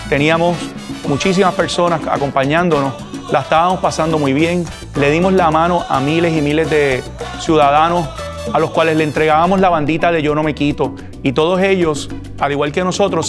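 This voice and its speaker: A man with a Puerto Rican accent telling his story in a documentary interview. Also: a little high. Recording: music playing behind the voice.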